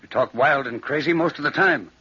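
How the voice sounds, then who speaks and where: A man's voice: fairly high pitch, fairly quick, bright, forceful tone, husky. An actor playing a plain-spoken, wary rustic working man, folksy and blunt, in an old-time radio drama. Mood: skeptical. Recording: dull, hissy old radio recording.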